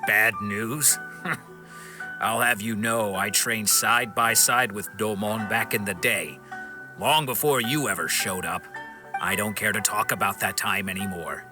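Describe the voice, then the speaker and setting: A man playing a proud, bitter wandering swordsman in a video game, speaking in a guarded, brooding, measured way. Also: on the low side, over music, natural voice. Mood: scornful, guarded.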